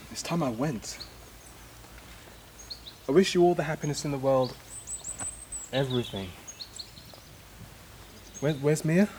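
An actor in an audio drama playing a wistful, idealistic man who speaks reflectively and haltingly, as if making a speech. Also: on the low side, darkish tone, a little hiss or hum, lightly acted. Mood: wistful, fond. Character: wistful departing man, hopeful philosophising idealist, father. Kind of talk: naturalistic audio drama acting.

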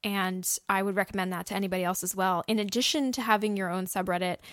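A woman's voice: mid-range voice, steady tone, fast, no echo, studio quality. A young woman co-hosting a podcast, giving casual, earnest and encouraging advice. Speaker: podcast host and audio drama producer. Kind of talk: casual how-to podcast talk.

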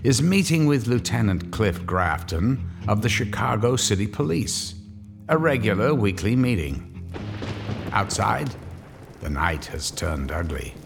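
A man narrates a radio crime drama with a very deep voice that still rises and falls widely. He tells the scene in measured, vivid lines while music runs behind him. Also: lively intonation, creaky.

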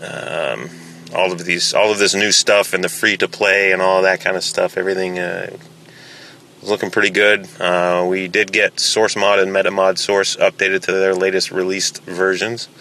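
A man's voice: deep voice, little pitch movement, normal speed, pushed and bright, a little hiss or hum. A man giving an informal spoken update as a game server admin on a podcast.

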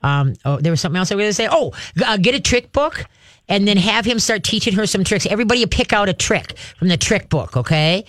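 A woman's voice: medium pitch, lively intonation, fast, no echo, clean studio sound. A woman hosting a call-in radio show about dog training, chatty and upbeat, slipping into cheerful sing-song voices. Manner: chatty, upbeat, acts out cheerful baby-talk to dogs.

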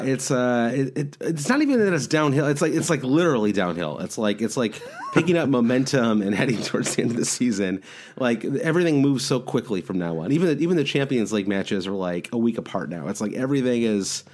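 A nasal Midwestern American man hosts a podcast with a stuffy head cold. His pitch jumps around a lot as he talks, and his rambling sentences are full of "like" and restarts. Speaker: podcast host. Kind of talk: casual podcast chat.

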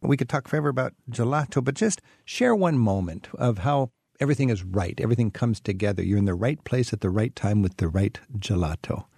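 Gravelly, husky male radio host. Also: lowish voice, expressive, brisk, hardly any pauses, a little mellow, no echo, clean studio sound. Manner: curious, conversational. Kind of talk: radio travel talk show.

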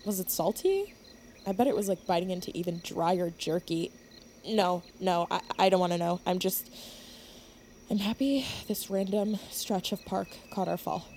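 A woman's voice: fairly low pitch, darkish tone, a little hiss or hum, lightly acted. An actress playing an agitated, mocking young woman on the run in an audio drama, talking in sarcastic, rattled bursts. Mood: sarcastic, teasing. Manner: sarcastic, rattled, rapid-fire questioning.

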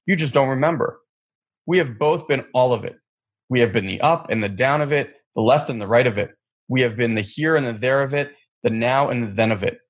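A man voicing a kind, loving soul in a storybook reading, with a gravelly, husky voice. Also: mid-range voice, a little fast, natural voice. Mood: tender.

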